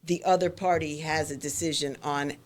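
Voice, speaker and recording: A middle-aged woman from South Florida with a Florida accent and a New York twang, candidly telling her story as a podcast guest, halting with many ums. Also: deep voice, fairly even intonation.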